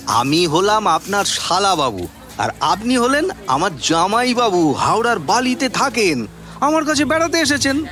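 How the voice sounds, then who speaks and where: Jolly young brother-in-law, radio drama, west bengal bengali, chuckles and stammers, really lively pitch. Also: male voice, measured pace, exaggerated voice. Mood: teasing, amused.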